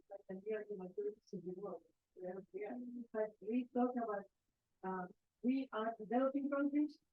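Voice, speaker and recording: An earnest woman in the audience makes a long, rambling point. Her voice is somewhat high. The sound is thin and narrow, like a phone line. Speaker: audience member asking a question. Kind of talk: impassioned spontaneous comment.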